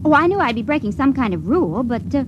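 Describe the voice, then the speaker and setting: A woman's voice: high-pitched, very animated, fast talker. A woman acting a naive, cheerful young stowaway wife in mid-century radio drama style. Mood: breezy, naive. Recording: muffled old radio drama, noisy hum.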